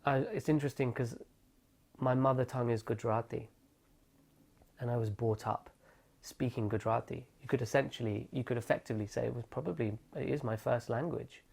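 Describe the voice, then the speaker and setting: Young man, interviewee, reflective storyteller, gravelly undertone. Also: medium pitch, fairly quick, darkish tone. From England. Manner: thoughtful, self-correcting, reflective.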